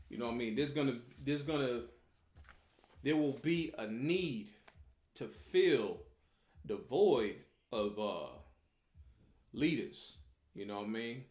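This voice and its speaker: A man teaching a religious class as an informal sermon, speaking repetitively with frequent rhetorical check-ins. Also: medium pitch.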